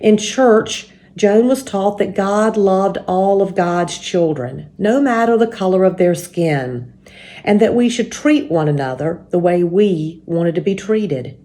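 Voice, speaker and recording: A woman leading a church story time, introducing and reading a children's book aloud in a measured, explanatory way. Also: medium pitch, expressive, mellow.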